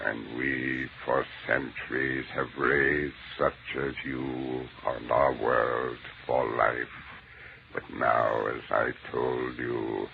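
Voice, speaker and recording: An ancient, bodiless alien head looms with cold contempt and grandiose menace. The voice is extremely deep and crackles with gravel, in a thin, phone-like old radio drama recording. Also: male voice, exaggerated voice. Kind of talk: old-time radio science fiction acting.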